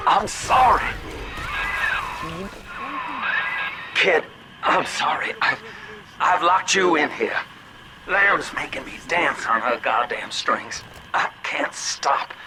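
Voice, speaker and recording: A man's voice: fairly high pitch, rough recording. An actor playing a slick, world-weary older businessman in a video game, with a folksy, familiar drawl. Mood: anguished, strained.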